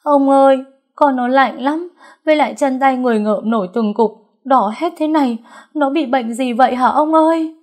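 Frantic wife and mother acted by a woman narrator: raised pitch, wailing, anxious, audiobook melodrama. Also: moderate pace, darkish tone, close to an everyday voice. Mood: panicked, wailing. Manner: high-pitched crying out. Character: anxious mother, official's wife, wailing over sick child.

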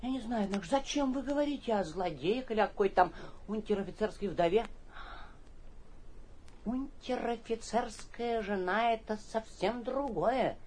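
An actor playing a flighty, cowardly young gentleman from the capital who blusters, stammers and repeats himself in a theatrical radio comedy. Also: high-pitched, normal speed, a little hiss or hum, lightly acted. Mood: sulky. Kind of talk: theatrical radio play acting of a classic comedy.

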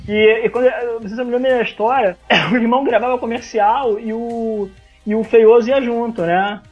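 A man with a Brazilian accent in a casual podcast chat, often doubling up words and starting over in a halting way. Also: extremely high pitch, steady tone, faint music behind. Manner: halting, repeats words emphatically. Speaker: podcast panelist. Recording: dull lo-fi voice track.

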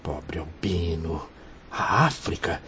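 Sad courteous gentleman ghost, really deep, breathy raspy, dramatic swings, radio play, muffled sound. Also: expressive, slow pace, heavily acted. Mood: plaintive, pleading. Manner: plaintive, courteous. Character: melancholy Portuguese gentleman spirit, respectfully pleading.

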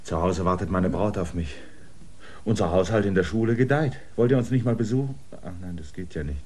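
A proud, stubborn outsider in a radio drama. His voice sits fairly low, and his delivery is intense and theatrical, with a bitter, restless edge. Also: brisk, darkish tone. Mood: hurried. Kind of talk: German radio drama acting.

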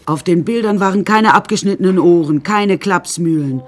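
A woman's voice: fairly low pitch, moderate pace, lightly acted. A woman playing an eccentric painter who tells her troubled life in a wry, rambling, matter-of-fact way, as radio drama narration. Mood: wry, reflective.

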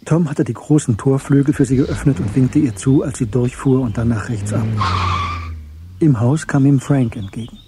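An actor as the composed narrator of a German crime radio drama, reading in a measured, understated way. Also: male voice, medium pitch, background noise, natural voice.

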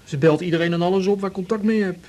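An actor playing a shy, troubled young man, speaking haltingly in a naturalistic radio drama. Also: sits high, darkish tone, natural voice. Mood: bitter, unhappy. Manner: hesitant, halting. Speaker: patient in a radio serial.

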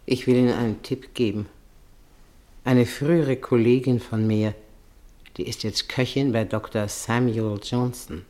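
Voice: medium pitch, steady tone, measured pace, a little mellow, close to an everyday voice. An actress playing an aggrieved, gossipy working woman in a 1950s German radio drama. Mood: confiding.

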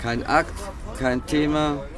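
A man's voice: fairly high pitch, fairly even intonation, takes it slowly, fairly bright tone. A man acting an easygoing street drinker in a radio play, placating in breezy, slangy short phrases.

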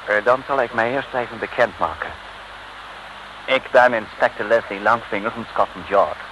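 An actor playing a polite, secretive British police inspector with an English accent, mixing English words in, in old radio serial style. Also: male voice, lowish voice, moderate pace, close to an everyday voice. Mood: earnest, instructing. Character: courteous British male police inspector working undercover. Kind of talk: 1950s-60s radio serial acting. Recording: noisy old radio recording.

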